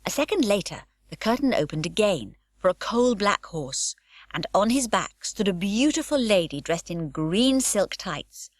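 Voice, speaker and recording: A woman narrating an unabridged children's audiobook, with expressive, varied storytelling. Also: mid-range voice, moderate pace, no echo, studio quality.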